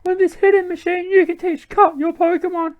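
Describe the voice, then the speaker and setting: A man doing a falsetto helpful young woman. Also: level tone, fairly quick, phone-quality sound. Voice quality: strained falsetto. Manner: reading game dialogue aloud in a put-on high voice. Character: friendly young woman game character.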